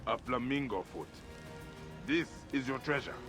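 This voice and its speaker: An actor playing a stoic, determined assassin in a video game, speaking in a measured, terse, earnest way. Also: male voice, medium pitch, expressive, music in the background, close to an everyday voice. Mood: puzzled. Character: stoic, determined male assassin on a desert quest. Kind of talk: video game character acting.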